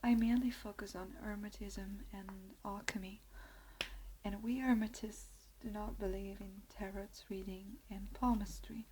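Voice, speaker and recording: Young woman, Italian accent, soft hesitant ASMR whisper, unhurried delivery. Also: mid-range voice, steady tone, slight background noise, poor audio. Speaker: ASMR creator.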